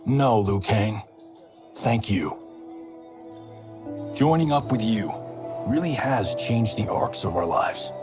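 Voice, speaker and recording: An actor playing a cocky, middle-aged movie star turned director who talks in a breezy, joking showbiz way. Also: male voice, fairly low pitch, expressive, takes it slowly, pauses often, over music. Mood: sincere. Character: brash cocky male movie-star fighter.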